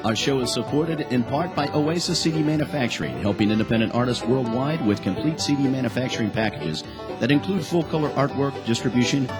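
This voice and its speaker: A man's voice: mid-range voice, fairly quick. A man reading scripted sponsor announcements as a radio show's announcer. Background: loud plucked guitar music.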